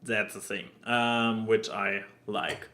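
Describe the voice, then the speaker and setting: A man chatting casually as a podcast co-host, halting and stammering as he searches for words. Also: fairly low pitch, little pitch movement, sharp tone. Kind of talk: casual podcast chat about anime.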